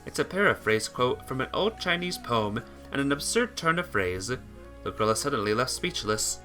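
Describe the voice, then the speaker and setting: A man's voice: mid-range voice, expressive, normal speed, sharp tone, faint music behind. A man reading a visual novel aloud as amateur narration, sometimes stumbling and rereading phrases.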